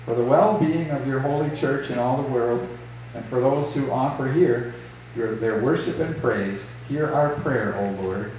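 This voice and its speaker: Middle-aged pastor in an echoey church. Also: male voice, medium pitch, far from the mic, noisy background. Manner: measured, solemn liturgical delivery. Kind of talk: liturgy and scripture reading. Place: small church sanctuary. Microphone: distant camera, later lectern mic.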